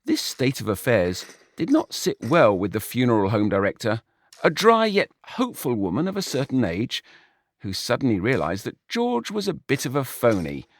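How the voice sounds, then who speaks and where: A man's voice: mid-range voice, huge pitch swings, moderate pace, no room sound. A man reading a comic short story aloud in a wry, dry storytelling manner. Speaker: narrator. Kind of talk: storybook narration.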